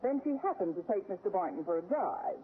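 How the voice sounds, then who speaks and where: An actress playing a wry, sarcastic schoolteacher in an old radio sitcom, delivering dry wisecracks in a deadpan manner. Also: female voice, medium pitch, fairly quick, lightly acted. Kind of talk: 1940s-50s radio sitcom acting and narration. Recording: noisy, tinny vintage radio broadcast recording.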